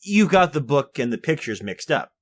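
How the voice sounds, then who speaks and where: A man's voice: fairly high pitch, sing-song, moderate pace. An actor playing a fussy, orderly, mild-mannered man with a prim, hesitant, polite manner in a retro radio drama. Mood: musing, bantering. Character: fussy, orderly, mild-mannered male workshop owner recounting a murder.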